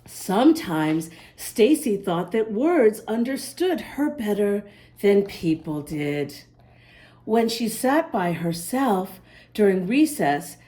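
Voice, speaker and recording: A woman reading a picture book aloud to young children, talking directly to them and carefully sounding out words. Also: mid-range voice. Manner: animated, addresses young listeners, spells words out letter by letter. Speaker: children's story reader, host.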